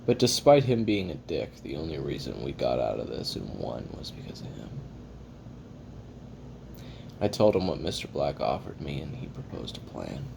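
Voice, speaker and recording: Man playing a deep gravelly jaded assassin. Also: sing-song, fairly quick, few pauses, full character voice. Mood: wry, bitter. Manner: profane, wry, rambling, self-deprecating. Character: jaded hard-drinking male assassin recording his memoirs. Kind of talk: confessional audio roleplay monologue. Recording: audible hiss and low rumble.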